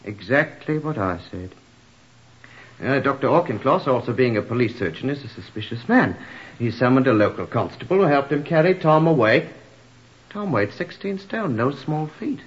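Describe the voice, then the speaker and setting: A man's voice: medium pitch, sing-song, moderate pace, lightly acted. Man acting a droll, swooping boozer. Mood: macabre relish. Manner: droll, wordy, mock-literary. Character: flippant, garrulous, macabre-humoured man fond of drink. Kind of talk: old-time radio drama acting. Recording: dull, muffled old radio recording.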